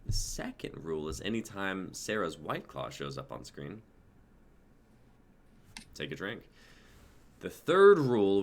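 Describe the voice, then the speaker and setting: A man in his twenties casually hosting a livestream in an informal, hyped, sweary way. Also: fairly low pitch. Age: 20s. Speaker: host of a livestreamed script-reading show. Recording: noisy home livestream audio.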